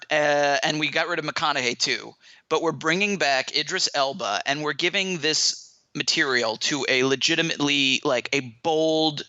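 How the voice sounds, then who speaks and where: A man's voice: mid-range voice, bright, forceful tone, dry recording, highs cut off. A man giving a casual film review as a guest critic, conversational and emphatic.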